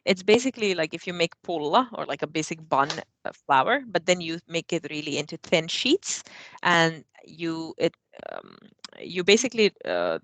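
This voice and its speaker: A woman's voice: low voice, big pitch swings, moderate pace, a little hiss or hum. A young woman from Afghanistan co-hosting a casual podcast from her living room, chatting with many fillers and restarts. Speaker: co-host. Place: living room at home, kitchen nearby.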